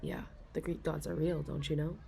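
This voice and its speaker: A young woman in a soft ASMR role-play, confiding hesitantly as an anxious office worker, sighing and stopping to restart her sentences. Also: deep voice, steady tone, gravelly, a little hiss or hum. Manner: hesitant and self-deprecating, with frequent sighs and halting restarts.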